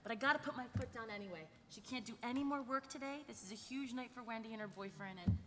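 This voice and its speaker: A woman's voice: on the high side, fast, fairly bright tone, natural voice. A woman playing a brash, bossy young roommate with a breezy, slangy, emphatic delivery at a live table read. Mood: breezy, insistent. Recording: echoey convention hall, noisy.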